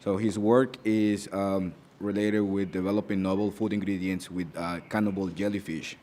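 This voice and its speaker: A man introducing his graduate students in a ceremony speech, halting with many fillers and restarts. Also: deep voice, level tone, warm tone.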